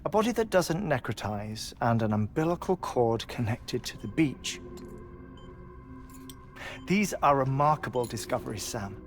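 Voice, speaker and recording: An actor playing an earnest, obsessive scientist in a video game, grieving and soft-spoken, with a measured, deliberate way of talking. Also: male voice, medium pitch, lively intonation, faint music behind. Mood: measured, wistful. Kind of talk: dramatic video game dialogue.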